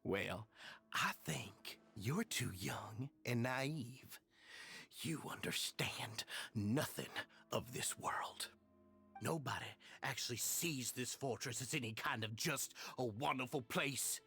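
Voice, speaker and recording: A man's voice: mid-range voice, takes it slowly, gravelly, bright, forceful tone, slightly rough, soft background music, heavily acted. A man playing an arrogant, cruel fortress official in a game, condescending and sneering.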